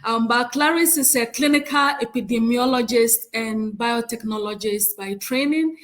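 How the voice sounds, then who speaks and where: Ghanaian woman chairing a conference session. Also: on the high side. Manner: measured, with frequent hesitant "um"s. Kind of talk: conference moderation, reading a speaker bio.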